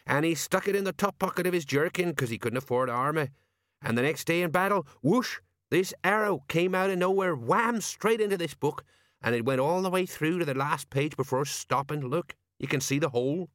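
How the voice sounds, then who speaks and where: Guy doing rambly old working class sergeant, folksy and defensive, higher voice, audiobook character. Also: fairly quick, a bit bright, no room sound, studio quality, natural voice. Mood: nostalgic.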